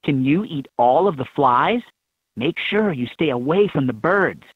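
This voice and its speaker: A man reading scripted instructions for a children's learning game in an expressive, instructive manner. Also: on the high side, measured pace, breathy edge, no echo. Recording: thin, phone-like lo-fi game audio.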